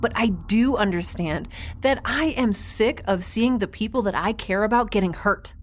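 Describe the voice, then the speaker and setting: A woman's voice: medium pitch, moderate pace, close to an everyday voice. A woman playing a defiant, sarcastic young space station resident in an audio drama, with a flippant, casual delivery. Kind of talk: scripted audio drama acting.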